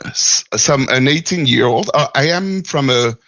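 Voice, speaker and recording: Gravelly man, hesitant. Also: mid-range voice, animated, a little slow, pushed and bright, no room sound. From Martinique. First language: French. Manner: hesitant, searching for words, chuckles often. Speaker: caller. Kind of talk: call-in discussion.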